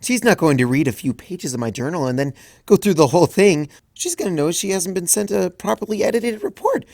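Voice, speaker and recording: A bookish young pony mare, high-strung and easily frazzled, her voice soaring well above the reader's own and swinging wildly as she fusses, as a man puts her on. It is close and dry, with no room around it. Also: sing-song, creaky, a little mellow, no room sound, heavily acted. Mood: anxious. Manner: high-strung, rushes and fusses, flies into panic.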